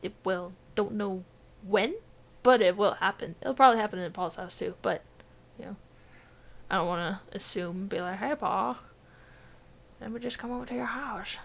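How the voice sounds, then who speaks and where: A woman's voice: mid-range voice, normal speed, creaky, pauses often. A young woman co-hosting a gaming podcast, laughing often, swearing casually and trailing off mid-thought. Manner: giggly, swears freely, trails off hunting for words. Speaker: podcast co-host.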